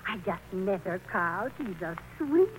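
A woman's voice: medium pitch, expressive, unhurried, lightly acted. An actress playing a fretful, flustered older wife and doting mother in a 1940s radio play, anxious and coaxing. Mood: coaxing, scolding. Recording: muffled, noisy old radio broadcast.

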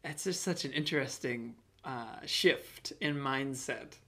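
A man hosting a casual interview chat, speaking in a thoughtful, halting way. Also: medium pitch, bright, forceful tone. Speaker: podcast host and actor.

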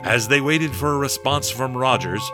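A man's voice: medium pitch, natural voice. A man as horror narrator over prominent music. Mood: narrating. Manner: measured, literary. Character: male storyteller narrating a horror tale. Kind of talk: scripted radio drama narration.

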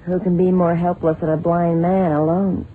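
A woman's voice: on the low side, little pitch movement, normal speed, close to an everyday voice. Female actor, icy crime boss for vintage radio thriller, clipped, scornful orders, muffled sound with faint hiss. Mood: scornful, commanding. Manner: imperious, clipped. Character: cold commanding female villain, suspicious and scornful. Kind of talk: 1970s radio drama acting. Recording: muffled, hissy old radio drama recording.

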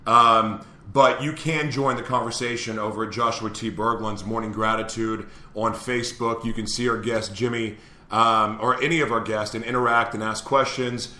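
An upbeat man hosting a live morning talk show, chatting casually and laughing easily. His voice is bright and forceful. It rings with a clear echo, as if he were in a bare room. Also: lowish voice, fairly even intonation, normal speed. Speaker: host.

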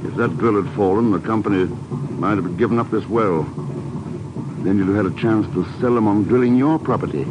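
An actor playing a cool, unflappable secret agent in a 1950s radio drama, speaking in a measured, dry manner. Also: male voice, fairly low pitch, close to an everyday voice. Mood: dry, composed. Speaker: lead actor. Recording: muffled, noisy old radio broadcast.

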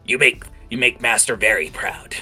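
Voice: mid-range voice, normal speed, sharp tone, slightly rough, faint music behind, pitched above the performer's own voice, rough recording. A man voicing a kobold tribe leader who declaims simple praise and rebukes to the tribe. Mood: proud praise. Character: kobold tribe leader, doting on favorites, scornful of others.

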